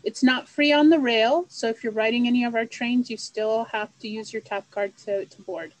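A woman's voice: fairly high pitch, fairly even intonation, moderate pace, low-quality recording. Chatty woman hosting a video call. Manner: friendly, chatty, loose with fillers. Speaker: meeting host for a transit riders club. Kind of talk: casual video-call meeting. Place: indoors with a virtual background. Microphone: video call webcam.

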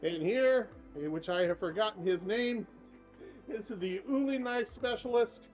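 A man's voice: high voice, measured pace. An older man giving a casual walking tour of shops, chatty and upbeat, recorded on a handheld camera while he walks. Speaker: travel vlogger and tour guide. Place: shops and streets of a small town, indoors and out. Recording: slightly dull sound, faint music behind.

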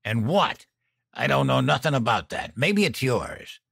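A male reader acts out a mean, drunken smoker in an audiobook. His voice is raspy and gravelly, with coarse slang snarled out in a bullying, sneering way. The sound is close and dry. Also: medium pitch, big pitch swings, unhurried, a bit bright, dry recording, voice pushed up, exaggerated voice. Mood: mocking. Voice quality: raspy, croaking. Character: violent, sneering, drunken smoker, man with criminal past.

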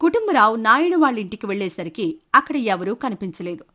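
High-voiced woman storyteller. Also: very animated, fast, sharp tone. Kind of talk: scripted story narration.

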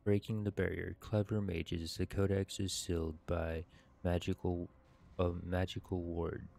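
A man in his thirties with a low, creaky voice hosts a gameplay video. He reads and comments in a halting, unhurried way, with little rise or fall in his tone. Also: little pitch movement, mellow. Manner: measured, halting reading. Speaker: gaming video host reading quests aloud. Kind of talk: reading game text aloud, casual gameplay commentary. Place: indoors at a computer.

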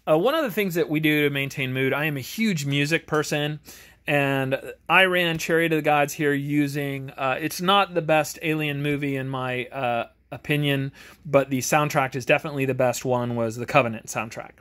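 Man talking haltingly, casual and unscripted. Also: on the high side, a bit bright. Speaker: tabletop game reviewer and game master. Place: home garage.

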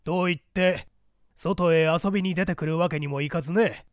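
A man's voice: fairly high pitch, moderate pace, dry recording, studio quality, close to an everyday voice. A man voicing a rigid, zealous party loyalist in an audiobook drama, with an emphatic, declamatory delivery. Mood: measured. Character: rigid, zealous male party loyalist preaching iron discipline. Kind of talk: dramatic audiobook character dialogue.

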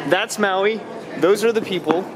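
A man's voice: sits high, measured pace, very noisy. A man in his thirties, a family vlogger, joking and chatting to a handheld camera while traveling, tired from the trip. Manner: playful, joking, talks to camera at arm's length. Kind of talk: casual travel vlog. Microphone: camera at arm's length.

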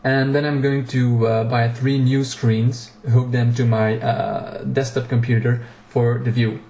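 A man's voice: mid-range voice, flat delivery, a little slow, mellow, reverberant. A native Dutch-speaking man, a home cockpit builder giving a casual walkthrough video, talking with frequent fillers and restarts, recorded on an action camera in a small home room. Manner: hesitant, many fillers and restarts.

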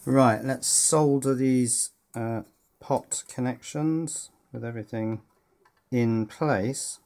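A man's voice: mid-range voice, steady tone, takes it slowly, darkish tone. A man hosting an electronics build, talking informally through hands-on work and thinking aloud with some hesitation. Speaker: host of an electronics project video. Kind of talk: informal hands-on workshop commentary while building. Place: indoors at a workbench.

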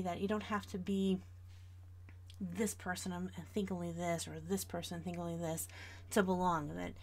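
Female livestream host, about 40, American. Casual, chatty delivery direct to viewers, noticeably creaky tone. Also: medium pitch, a little mellow. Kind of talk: casual livestream chat while drawing.

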